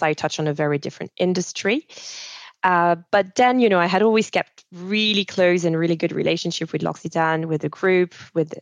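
Woman, low dry voice. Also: dry recording. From Switzerland. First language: French. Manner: thoughtful, many fillers and restarts. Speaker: guest, managing director. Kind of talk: casual interview conversation.

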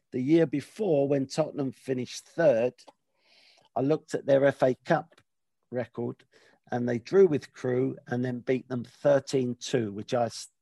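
A man's voice: mid-range voice, gravelly, warm tone, no echo. A man hosting a podcast in a casual, rambling way, with many hesitations and restarts. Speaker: podcast host. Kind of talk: casual chat.

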